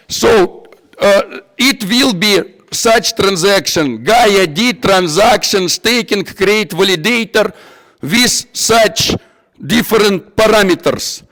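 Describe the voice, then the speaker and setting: A man with a non-native English accent giving an informal technical workshop, halting and repeating himself as he searches for words. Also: high-pitched, fairly bright tone. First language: not English. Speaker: workshop instructor. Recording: echoey hall with long reverb.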